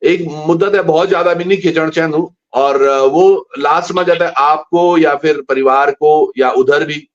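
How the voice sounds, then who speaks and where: A man's voice: fairly high pitch, moderate pace, few pauses. This is a male host with a somewhat raised voice. He asks his guest long, searching questions in a casual interview. The audio is dull and lo-fi, like a live stream.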